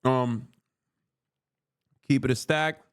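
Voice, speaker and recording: A man's voice: medium pitch, steady tone, slow pace, clear, resonant tone. A man from Turkey in his thirties, streaming live while eating and trading profane banter with his chat. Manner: profane, bantering, reads chat aloud and argues with it.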